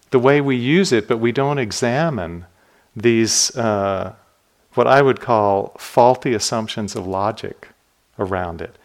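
A man teaching meditation in a dharma talk, calm and reflective, putting questions to his listeners. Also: medium pitch. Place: retreat center hall.

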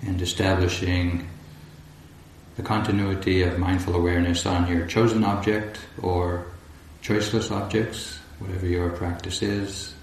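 A man guiding a meditation as a teacher, speaking calmly and deliberately in short phrases with long pauses. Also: low voice. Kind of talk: guided meditation instruction. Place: large echoey indoor room at a retreat. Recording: roomy echo, faint background noise.

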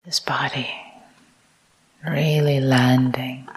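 Casting: female meditation teacher, low register, very slow and soft, breathy near-whisper, calm repeated phrases. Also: poor audio. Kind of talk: guided meditation. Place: meditation retreat talk.

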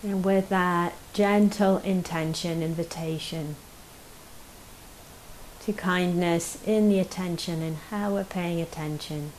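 Slow, low-pitched woman guiding meditation. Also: fairly even intonation, rough recording. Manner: gentle, unhurried, long pauses. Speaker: meditation teacher. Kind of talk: guided meditation. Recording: faint hiss behind the voice.

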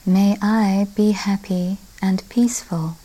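A woman meditation teacher leading a guided meditation in a gentle, unhurried way with long pauses. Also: medium pitch, fairly even intonation, rough recording. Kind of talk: guided loving-kindness meditation.

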